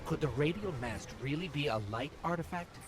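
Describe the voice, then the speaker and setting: A man's voice: fairly high pitch, brisk, lightly acted. Man as earnest robot companion amid noise. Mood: alert. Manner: expressive, conversational, inquisitive. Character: small male robot companion, earnest, eager, anxious. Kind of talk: video game companion dialogue.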